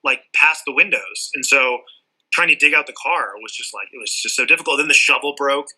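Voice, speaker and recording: A man's voice: mid-range voice, fairly quick, bright, forceful tone, husky, rough recording. A man from Southern California, a sculptor and art teacher, chatting casually in an interview and rambling with lots of "like" and restarts. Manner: rambling, many likes and restarts.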